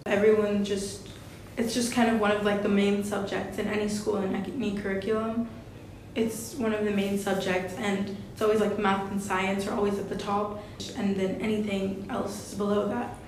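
A woman's voice: level tone, moderate pace, darkish tone. A teenage female student giving her opinion casually and hesitantly in a discussion. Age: teens. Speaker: school student. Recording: very echoey room, faint rumble.

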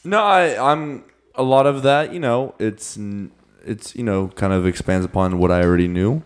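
Low-voiced man stumbling through podcast chat. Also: moderate pace, clean tone. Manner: laid-back, stumbles over words and laughs. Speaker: podcast co-host. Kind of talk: casual podcast banter.

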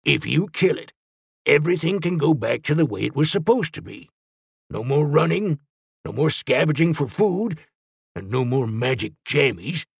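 A man's voice: on the high side, big pitch swings, moderate pace, creaky, husky, no echo, heavily acted. A man voicing a grumbling, sarcastic old monster-fighter in a story. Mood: urging.

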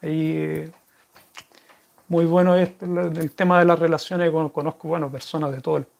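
A man's voice: a little high, flat delivery, normal speed, gravelly. A man with a Chilean accent, chatting casually to viewers and answering their questions in a rambling, friendly way. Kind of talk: casual chat to camera.

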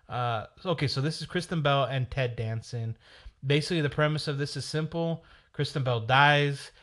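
A man hosting his show solo, talking in a rambling, chatty way and correcting himself, his voice raspy from a cold. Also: medium pitch, fairly bright tone. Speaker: podcast host. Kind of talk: casual solo chat.

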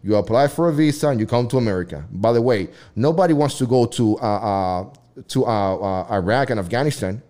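A man's voice: fairly low pitch, a little fast, warm tone. A man hosting a casual debate chat, rambling with frequent restarts and swearing freely.

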